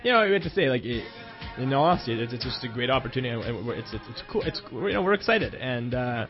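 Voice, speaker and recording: A man co-hosting a sports radio show, talking earnestly with many quick restarts. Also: medium pitch, big pitch swings. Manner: rapid, heartfelt, many restarts. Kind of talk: casual sports radio talk. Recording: dull lo-fi broadcast with faint music.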